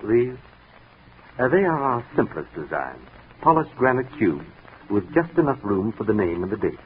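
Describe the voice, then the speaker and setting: Unctuous salesman: florid, deferential, very animated, lowish pitch; vintage radio drama on tinny narrow-band audio. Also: normal speed. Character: unctuous, courteous male monument salesman. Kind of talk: 1940s radio drama acting. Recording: tinny 1940s radio recording with noise.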